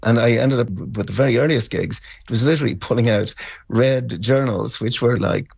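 A middle-aged or older Irish man telling stories as a musician being interviewed, reflective and somewhat halting. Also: medium pitch, vocal fry, darkish tone, husky, no echo, clean studio sound. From County Cavan, Ireland. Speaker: interview guest, band musician. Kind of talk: conversational storytelling interview.

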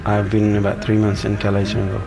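Earnest, low-voiced man. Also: monotone, fairly quick, vocal fry, music in the background, poor audio. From Afghanistan. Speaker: refugee interviewed in a camp. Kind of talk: interview.

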